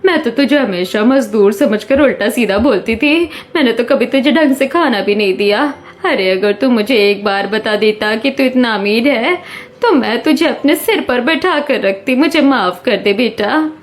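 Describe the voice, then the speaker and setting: A woman's voice: on the high side, expressive, measured pace. A woman playing a gushing, showy middle-aged mother-in-law in a theatrical cartoon style. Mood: amazed, apologetic. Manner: exclamatory, theatrical. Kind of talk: Hindi cartoon story acting.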